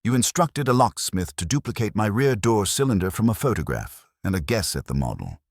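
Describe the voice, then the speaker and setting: A man voicing a stern, pedantic older detective who delivers formal, scornful rebukes in a measured, ornate way. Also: on the low side, big pitch swings, dry recording, clean studio sound, voice pushed up.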